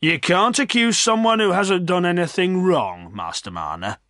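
A man's voice: sits high, animated, moderate pace, pushed and bright, no echo, studio quality, voice pushed up. A man voicing an old village man in a storybook, speaking in an admonishing manner. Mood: reproving.

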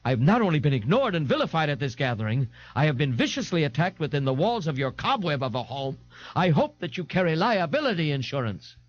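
A man's voice: on the high side, very animated, normal speed, no echo, muffled recording, pitched above the performer's own voice. Man voicing a pompous, blustering fat man. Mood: outraged. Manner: grandiloquent, grave, formal.

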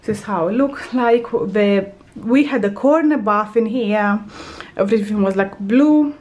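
A woman's voice: medium pitch, measured pace, a little mellow. A woman in her thirties casually narrating a tour of her house, chatty and often correcting herself as she walks through the rooms. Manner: chatty, self-correcting, walks while filming. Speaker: vlogger giving a house tour. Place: bathroom and bedroom of a house, indoors. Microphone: handheld camera.

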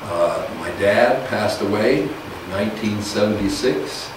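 Older male speaker, loud and folksy, low voice, echoey room with hiss. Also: a little slow. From US (Idaho). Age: 60s. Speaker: guest speaker, government teacher. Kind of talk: informal speech to a small audience. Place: small meeting room, indoors. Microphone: podium mic, heard from afar. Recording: echoey room, distant camera mic, hiss.

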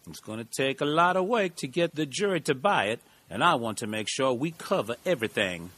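A man's voice: mid-range voice, animated, brisk, a bit bright, slightly rough. A man playing a genial, eccentric middle-aged small-town lawyer in an audio drama, with a folksy, rambling storyteller's manner. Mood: folksy storytelling.

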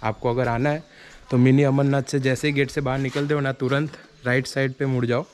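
A man's voice: mid-range voice, flat delivery, fairly quick. A man hosting a casual road trip vlog, chatty and laughing often as he talks to viewers. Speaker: vlogger host. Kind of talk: casual travel vlog chat. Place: outdoors by car on a road trip. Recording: loud outdoor noise.

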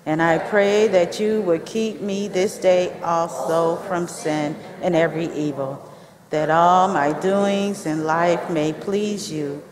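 A woman leading a chapel service, addressing the congregation with deliberate, measured phrasing. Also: fairly low pitch, steady tone, crowd noise. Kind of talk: addressing a congregation, welcome and prayer. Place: large indoor chapel with brick walls. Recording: echoey hall, picked up from the audience.

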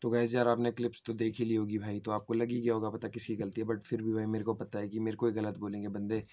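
Subdued fast-talking young man. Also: fairly low pitch, completely flat delivery, mellow. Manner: fast, repetitive, says "bhai" and "yaar" often. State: injured, in pain, subdued. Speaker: motovlogger. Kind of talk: casual vlog talk to camera. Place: indoors in a small room. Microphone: phone camera at arm's length.

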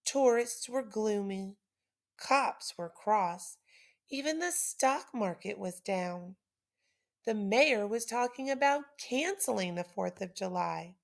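A middle-aged woman reads a children's story aloud like a teacher, with deliberate, dramatic emphasis. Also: mid-range voice, lively intonation, gravelly.

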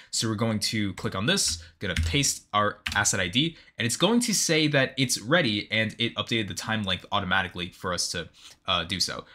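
A man with a bright, forward voice narrates a software tutorial. His pitch moves in a lively, animated way as he stresses key terms. He explains things step by step, like an instructor guiding a learner. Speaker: tutorial host.

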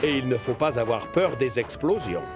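A man narrates a children's cartoon in the present tense, as a scripted storyteller. His voice sits at a middle pitch, and music plays clearly behind him. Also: lively intonation, normal speed.

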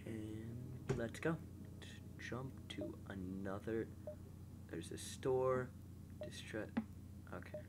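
Slow, low-voiced congested young man. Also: over loud music. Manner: relaxed, mumbles quietly to himself while playing. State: sick and congested, slightly nasal. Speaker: gameplay host. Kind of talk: casual gameplay commentary, reading game text aloud.

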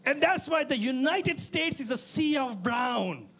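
A man from Bangladesh doing a stand-up comedy set on a club stage into a handheld mic, animated and full of put-on voices. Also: extremely high pitch, unhurried, vocal fry, a little hiss or hum.

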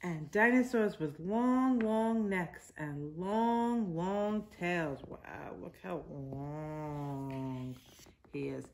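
Slow-paced woman reading to young children. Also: on the low side, a little hiss or hum. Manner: simple phrases with emphasized words and asides to children. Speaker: teacher reading a picture book to young children. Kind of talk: read-aloud storytime for young children. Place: living room at home, indoors.

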